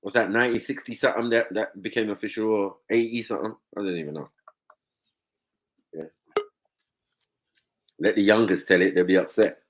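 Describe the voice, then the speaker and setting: A man's voice: on the low side, fairly even intonation, fairly quick, pauses often. A man in a casual live panel chat, teasing and laid-back.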